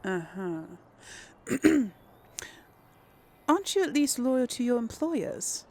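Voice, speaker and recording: A woman's voice: on the high side, big pitch swings, slow, a little mellow. A woman hosting a casual role-play let's play, talking in a hesitant, thoughtful, giggly way. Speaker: gaming video host.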